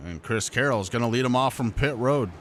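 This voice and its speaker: A man's voice: brisk, slight background noise. A man with a Vermont accent doing lap-by-lap commentary on a simulated stock car race, his voice jumping up sharply when a wreck unfolds. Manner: excited pitch jumps when calling wrecks. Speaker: play-by-play commentator. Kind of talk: sim racing commentary.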